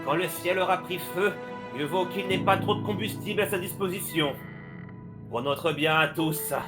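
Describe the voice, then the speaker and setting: An actor playing a weary, ominous woodcutter who speaks in riddles in a French audio drama. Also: male voice, high voice, moderate pace, natural voice. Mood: solemn, cryptic. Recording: clear music behind.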